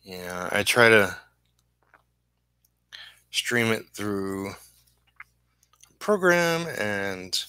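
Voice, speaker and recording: Low male voice, unhurried, halting, muttering, apologetic, casual livestream chat, dry close sound. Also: big pitch swings, pushed and bright, smooth, no echo. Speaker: comic book inker livestreaming his work.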